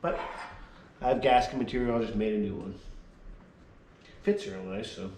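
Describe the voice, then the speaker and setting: Man, mid-pitch, hands-on vlogger muttering while wrenching; slightly hoarse; roomy garage echo. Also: normal speed, a little hiss or hum. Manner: relaxed, mutters quietly while working. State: losing his voice a little. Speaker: vlogger working on a small motor vehicle. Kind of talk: casual workshop narration.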